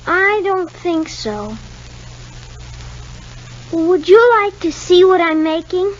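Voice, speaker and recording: Radio soap: small boy, high voice, polite, downcast, reticent; dull vintage recording. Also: animated, slow pace, a little hiss or hum, full character voice. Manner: reticent, short hesitant answers. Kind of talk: 1950s radio soap acting.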